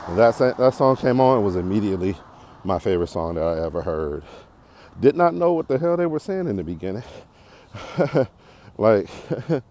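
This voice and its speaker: An American man in his forties talking casually and unscripted as a podcast host, in a reflective, halting, rambling way while walking outdoors. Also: on the low side, very animated, a little mellow. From Detroit area, United States. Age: 40s. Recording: clearly noisy outdoor walking recording.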